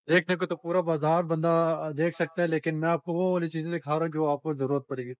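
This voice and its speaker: A man's voice: fairly high pitch, dry recording. Chatty man vlogging through a bazaar. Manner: chatty, bargains with shopkeepers, addresses viewers as brothers. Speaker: travel vlogger. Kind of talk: walking-tour vlog narration. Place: outdoor street market.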